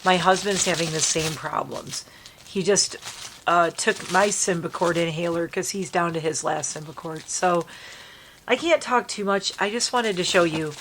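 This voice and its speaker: A woman chatting casually to camera from her bed, hoarse and stuffy from allergies, coughing now and then, rambling and apologetic. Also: fairly low pitch. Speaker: vlogger. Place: bedroom at home, dim light. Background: crinkling dog toy, faint noise.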